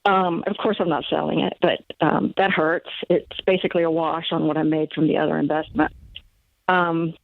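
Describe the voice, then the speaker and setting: A woman's voice: deep voice, flat delivery, normal speed, vocal fry, dry recording. A woman in her sixties calls in over a thin, tinny phone line. She talks hesitantly, stopping and restarting with plenty of fillers. The sound is dry and close. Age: 60s. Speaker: caller. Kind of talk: phone call to a radio advice show.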